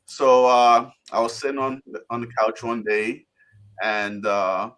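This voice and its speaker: A man in his thirties from Guyana, a guest telling his life story on a video call, relaxed and rambling with restarts. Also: medium pitch, takes it slowly. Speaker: interview guest. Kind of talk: casual storytelling interview.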